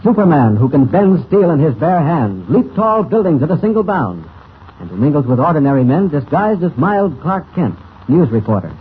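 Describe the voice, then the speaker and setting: A man's voice: mid-range voice, close to an everyday voice. Guy doing a dramatic old radio serial narrator, big declamatory delivery, tinny recording w/ some noise. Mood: dramatic, rousing. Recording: thin, noisy old radio transcription.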